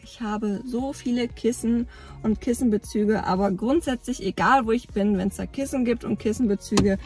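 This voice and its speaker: A woman's voice: on the high side, moderate pace, a little mellow, slightly rough, soft background music. A woman in her thirties chatting casually in a vlog from inside a parked car, rambling with lots of fillers, filmed at arm's length. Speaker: camping vlogger. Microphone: phone or camera at arm's length.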